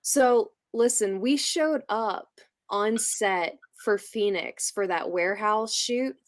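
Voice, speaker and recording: A woman's voice: medium pitch, slow, no room sound, clean studio sound. A woman chatting casually in a giggly manner, peppering her talk with "like". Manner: giggly, frequent laughing, heavy use of "like". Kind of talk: casual chat.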